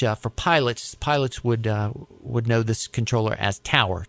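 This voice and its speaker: An American man recounting his work as an air traffic controller in a conversational, deliberate, explanatory way. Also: on the low side, no echo. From United States. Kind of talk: conversational storytelling interview.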